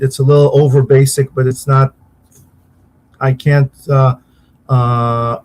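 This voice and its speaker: Man, Hebrew speaker, halting, searching for words, mid-pitched voice, faint background hum. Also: gravelly, darkish tone, low-quality recording. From Israel. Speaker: interview guest, uncle of a victim. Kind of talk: conversational interview answers.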